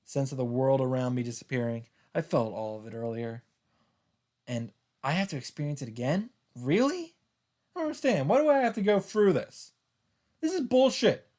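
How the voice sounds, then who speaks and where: A man reading a first-person story aloud in a theatrical, halting way, with dramatic emphasis. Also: medium pitch, lively intonation, a little mellow. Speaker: streamer reading a visual novel aloud.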